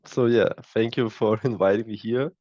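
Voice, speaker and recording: A man's voice: on the low side, steady tone, moderate pace, a little mellow, no echo, highs cut off. A man in his early twenties from Lithuania, speaking English with a Lithuanian accent, answering interview questions hesitantly as he searches for words. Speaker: startup co-founder, podcast guest.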